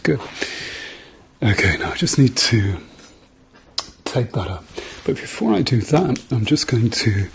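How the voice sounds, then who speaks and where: Slow, low-pitched man in soft ASMR roleplay. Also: slightly rough. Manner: gentle reassuring murmur, often dropping into whispers. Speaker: ASMR performer playing a doctor. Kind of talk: ASMR medical roleplay, softly spoken to the listener.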